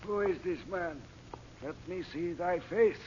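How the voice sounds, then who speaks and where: An actor playing a suspicious guard in an old-time radio adventure, challenging, with archaic phrasing. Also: male voice, sits high, unhurried, a little mellow. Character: suspicious, challenging gate guard, male henchman. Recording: dull, noisy old radio recording, distant.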